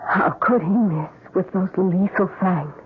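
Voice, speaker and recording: An actress playing a subdued, guilt-laden wife in an old-time radio drama, on a tinny, noisy recording. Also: female voice, lowish voice, a little slow, lightly acted.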